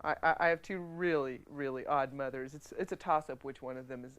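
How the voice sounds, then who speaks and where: A woman with a deep voice speaking frankly in an interview, wry, with stammering restarts. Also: a little fast, fairly bright tone. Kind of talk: candid personal interview.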